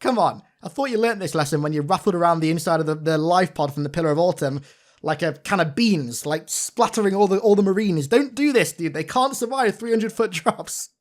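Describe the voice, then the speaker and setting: Excitable man with a higher-pitched voice. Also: fairly quick. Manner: informal, excitable fan talk. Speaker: gaming video commentator. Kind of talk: enthusiastic gameplay breakdown commentary.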